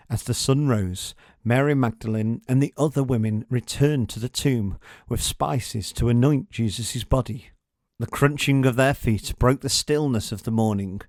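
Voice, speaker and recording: A man's voice: medium pitch, no room sound. Male storyteller in a dry recording. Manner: dramatic, measured storytelling. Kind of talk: scripted read-along narration for children.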